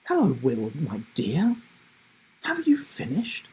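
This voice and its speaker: A man's voice: on the high side, wildly swooping pitch, takes it slowly, a little mellow, a little hiss or hum, pitched above the performer's own voice, heavily acted, poor audio. A man voicing a doting, delighted elderly grandmother from a children's storybook in a sweet, gushing manner. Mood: affectionate greeting.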